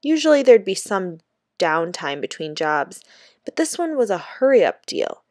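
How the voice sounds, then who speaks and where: A woman narrates a children's chapter book as a teacher would for a class. Her mid-pitched voice is measured and expressive, and the recording is dry and close. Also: dry recording. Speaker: teacher reading aloud.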